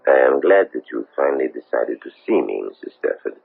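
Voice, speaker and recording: Very deep gravelly formal investigator. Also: male voice, sing-song, soft background music, exaggerated voice. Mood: coolly satisfied. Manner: formal, deliberate, probing. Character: male foreign Nazi hunter, persistent, formal, coolly insistent. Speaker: investigator seeking a war criminal's extradition. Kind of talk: 1970s radio drama acting. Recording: tinny, band-limited old radio broadcast.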